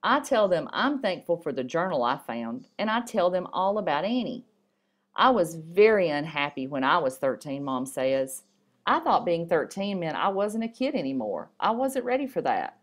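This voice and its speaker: Low-voiced woman reading aloud. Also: very animated, moderate pace. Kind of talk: reading a story and poem aloud.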